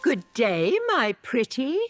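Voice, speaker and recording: Slow, coaxing old witchy peddler woman. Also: a little high, big pitch swings, soft background music. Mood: sly coaxing. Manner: coaxing, theatrical. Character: frail old peddler woman, secretly a wicked queen. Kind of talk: animated fairy tale acting.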